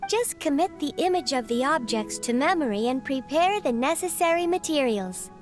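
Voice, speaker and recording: A woman's voice: high voice, expressive, moderate pace. A woman voicing a plump, bird-like female teapot spirit in a video game, formal and prim as a butler. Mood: courteous, instructive. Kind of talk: video game character dialogue. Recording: music under the voice.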